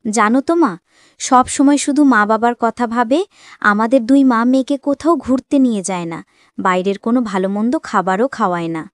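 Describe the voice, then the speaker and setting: A woman's voice: on the high side, a little fast, no echo, studio quality, lightly acted. An actress playing a young wife and mother in a cartoon drama, coaxing and easily aggrieved, with an insistent, emotive manner. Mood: complaining.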